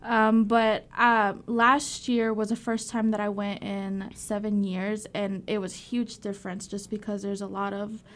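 Woman, 20s, from El Salvador, chatty interview guest, mid-pitched voice. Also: little pitch movement, measured pace, hardly any pauses, fairly bright tone. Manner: chatty storyteller with many fillers. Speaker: guest, community organization worker. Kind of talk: conversational interview.

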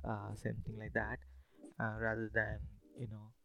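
Rambling man with a creaky voice. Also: lowish voice, completely flat delivery, moderate pace, pushed and bright. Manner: rambling, hesitant, informal. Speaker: podcast host. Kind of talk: casual solo podcast talk.

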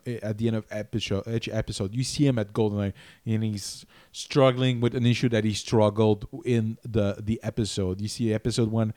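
Halting, stammering male podcast co-host. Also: fairly low pitch, a little mellow, no echo, studio quality. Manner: halting, repeats words while thinking. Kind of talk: casual chat.

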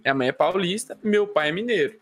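A man's voice: sits high, fast. A man with a Minas Gerais accent, a guest comedian chatting and joking on a casual podcast. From Minas Gerais, Brazil. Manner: joking, animated, acts out quoted voices.

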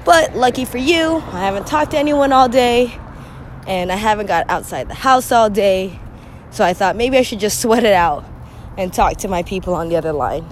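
A young woman talks through her solo podcast with a scratchy voice from a cold. She is chatty and candid, clearing her throat now and then. Faint traffic noise sits behind her. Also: mid-range voice, lively intonation, normal speed. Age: young adult. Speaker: podcast host. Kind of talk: casual solo podcast monologue.

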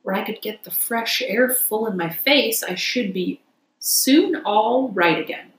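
A woman's voice: a little high, lively intonation, unhurried. Lady doing a vain reckless toad for a storybook, very theatrical, echoey room. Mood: meek, wheedling. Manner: theatrical, self-admiring. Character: boastful, reckless toad posing as a meek washerwoman.

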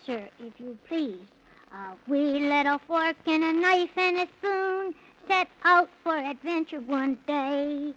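High-voiced, polite little boy. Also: fairly bright tone. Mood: polite, timid. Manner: very courteous, hesitant. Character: polite, earnest, wide-eyed six-year-old boy. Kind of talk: 1930s radio serial acting. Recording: muffled 1930s radio recording.

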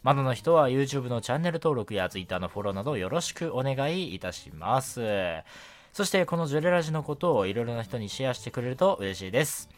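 A man hosting a casual, chatty night radio show in a relaxed, gently self-deprecating way. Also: medium pitch, quick pace.

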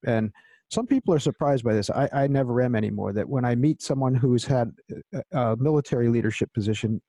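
A man hosts an interview in a friendly, eager way, often tripping over restarts. His voice is mellow and warm, recorded close and dry. He sounds keen to draw out his guest. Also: mid-range voice, no echo. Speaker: podcast host. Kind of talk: interview hosting.

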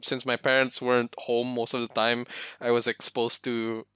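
Bright crisp young man chatting. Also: mid-range voice, little pitch movement, normal speed, no room sound. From Indonesia. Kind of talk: casual group chat.

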